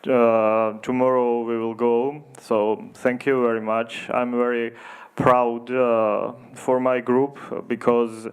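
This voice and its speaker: A man with a Georgian accent, a theater director answering in halting English with many fillers, earnest in tone. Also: medium pitch, level tone, clear, resonant tone. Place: festival discussion hall.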